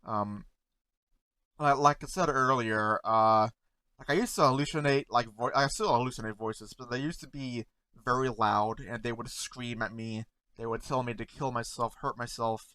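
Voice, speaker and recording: A man in casual talk to camera, halting and stuttering, repeating words and often trailing off softly, close to the mic at home. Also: mid-range voice, fairly even intonation, no room sound. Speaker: content creator reacting to memes.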